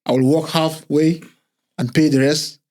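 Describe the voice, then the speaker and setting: A male voice on the higher side. He co-hosts a football podcast, talking in a relaxed, conversational way. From English-speaking Cameroon. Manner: relaxed, conversational, quick to clarify. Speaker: podcast co-host. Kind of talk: casual chat.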